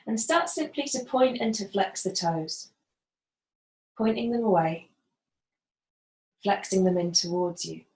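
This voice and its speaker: A woman gently guiding a body scan as a yoga facilitator, unhurried and leaving pauses. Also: medium pitch, expressive. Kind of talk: guided body scan meditation instruction. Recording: roomy, echoing voice.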